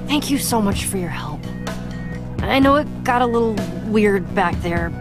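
A woman's voice: medium pitch, expressive, unhurried, over music. An actress playing a spirited young female fighter in a video game, speaking casually and candidly. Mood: grateful, sheepish. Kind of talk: animated video game voice acting.

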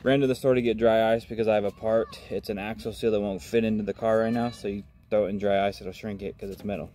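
Young man, low and mellow, easygoing explainer, outdoors with faint background noise. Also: steady tone, quick pace. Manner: casual, explaining. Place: outdoors on a backyard deck.

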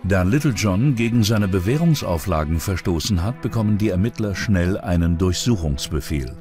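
A man's voice: low-pitched, lively intonation, moderate pace, darkish tone, faint music behind. A man reads scripted true-crime documentary narration.

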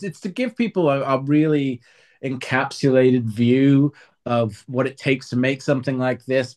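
A man talking as an author being interviewed, conversational, often halting and correcting himself. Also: mid-range voice, a little mellow.

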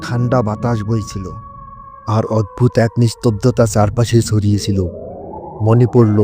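A man's voice: on the low side, little pitch movement, moderate pace, music in the background. A man narrating a scripted horror story in the first person.

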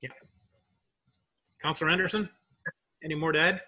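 A man's voice: fairly high pitch, steady tone, moderate pace, pushed and bright, slightly rough. A man speaking informally as mayor, chairing a council meeting over a video call. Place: remote video call from a room.

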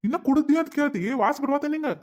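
A man's voice: very high-pitched, racing. A middle-aged man with a North Karnataka Kannada accent, playing an exasperated father who bluntly berates his wife with rhetorical questions in a family drama skit. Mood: angry.

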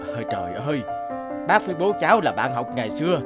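A man's voice: mid-range voice, very animated, fast, loud music behind the voice, natural voice. A man voicing a caring, easily surprised middle-aged father in a cartoon, kindly and hesitant, with a Northern Vietnamese accent. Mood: pleasantly surprised. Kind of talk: animated cartoon dialogue.

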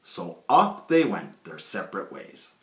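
A man's voice: low voice, very animated, a little slow, slight background noise. A middle-aged man reading a children's story aloud as a storyteller, recorded on a phone indoors. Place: small bare indoor room. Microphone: phone at arm's length. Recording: echoey small room, phone mic.

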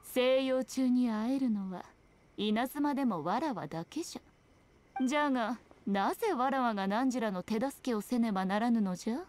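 A woman's voice: fairly high pitch, normal speed, darkish tone, lightly acted. A woman playing a sly, teasing, ancient fox-spirit shrine maiden in a video game, speaking in an archaic, haughty manner with playful airs. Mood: aloof. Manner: archaic haughty Japanese speech, playful mock sympathy. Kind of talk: scripted video game dialogue.

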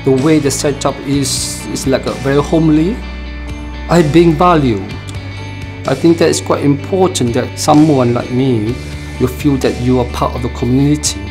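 A middle-aged man from Malaysia, talking in a documentary interview in a halting way with many pauses and fillers. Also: medium pitch, fairly even intonation. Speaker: project member. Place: indoor art room. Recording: music bed underneath.

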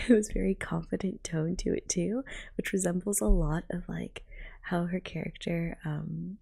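A young woman giving gushing, casual reaction commentary in a hushed, half-whispered ASMR manner, close to the microphone. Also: fairly low pitch, steady tone, measured pace, vocal fry, husky. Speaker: VTuber reacting to a game trailer.

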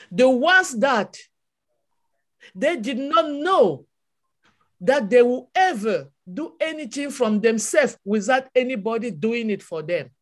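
A woman from Cameroon, a native French speaker with a Cameroonian French accent, giving a passionate motivational testimony on a video call with a repetitive preaching cadence. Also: a little high. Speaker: webinar speaker.

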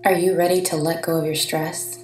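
Female meditation narrator, lowish and gentle, very unhurried delivery, reverberant sound. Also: flat delivery, slight background noise. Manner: soothing, gentle, deliberate with long pauses. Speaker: meditation guide. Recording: reverberant, distant-sounding voice over soft music.

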